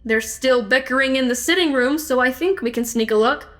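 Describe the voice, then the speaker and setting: An actress playing a practical, take-charge amateur sleuth in an audio drama, speaking directly with dry wit. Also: female voice, high-pitched, brisk, a bit bright. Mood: focused, urgent.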